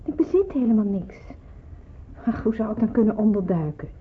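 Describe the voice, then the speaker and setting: Hesitant young nurse, thin old recording. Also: female voice, mid-range voice, animated, fairly quick, husky. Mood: hesitant, resigned. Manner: hesitant, earnest. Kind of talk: radio drama acting. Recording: muffled, narrow-band old radio play, hissy.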